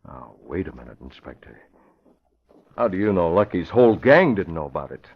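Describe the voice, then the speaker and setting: An actor playing a polite, guarded young newspaper publisher in an old-time radio crime drama, with a measured delivery. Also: male voice, deep voice, big pitch swings, a little hiss or hum. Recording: thin, phone-like old radio recording.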